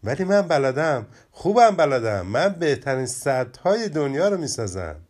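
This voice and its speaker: A man's voice: fairly high pitch, sing-song, a little mellow, voice pushed up. A man voicing a boastful young rabbit in a children's storybook, talking in a cocky, offhand way.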